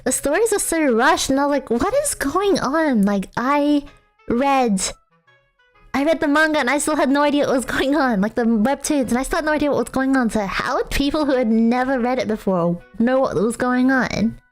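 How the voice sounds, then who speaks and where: A woman's voice: high-pitched, normal speed, soft background music. Rambling young woman streamer. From Australia. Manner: rambling, filler-heavy, self-mocking, laughs often. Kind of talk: casual chat with viewers.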